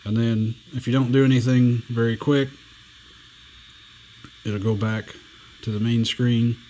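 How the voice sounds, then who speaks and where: Man, unhurried pace, mid pitch, relaxed plainspoken demo, faint background hiss. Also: poor audio. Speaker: radio reviewer. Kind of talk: casual hands-on product demo.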